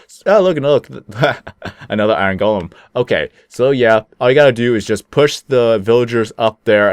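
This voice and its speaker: A young man talks in a deep, low register as he narrates his gameplay in a loose, rambling way. His voice is a little congested from a mild cold. The close mic makes it sound dry, with no room around it. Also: clear, resonant tone, no room sound. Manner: rambling, easygoing, chuckles at himself. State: slightly sick with phlegm. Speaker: gaming channel host. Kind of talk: casual gameplay commentary.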